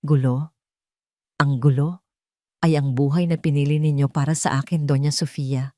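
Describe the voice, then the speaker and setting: A woman's voice: low-pitched, fairly even intonation, moderate pace, warm tone, no echo, studio quality, natural voice. A woman voicing a hurt, angry young wife who accuses and pleads in a Tagalog audio drama. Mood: bitter, angry. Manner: accusing, pleading rhetorical questions.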